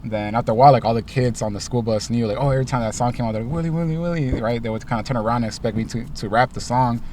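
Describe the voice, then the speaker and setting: A young man from Los Angeles, a rapper, answering interview questions in a car in a relaxed way, with lots of ums and likes. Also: mid-range voice, racing, distant mic, noisy background. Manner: laid-back, many ums and likes. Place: inside a parked car.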